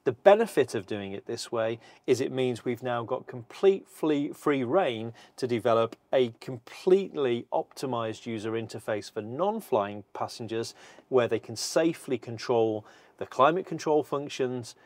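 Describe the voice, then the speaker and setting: A middle-aged man giving a scripted, measured walkthrough of a cockpit system, speaking precisely while seated in an aircraft cockpit. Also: mid-range voice, animated, darkish tone, dry recording. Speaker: company presenter.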